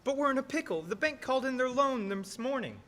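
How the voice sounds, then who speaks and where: A young man acting an excitable, folksy small-town American character in a radio play. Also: extremely high pitch, fast talker. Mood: panicked. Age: teens. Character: friendly small-town cab driver, and frantic flustered older uncle. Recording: noisy recording in reverberant theater.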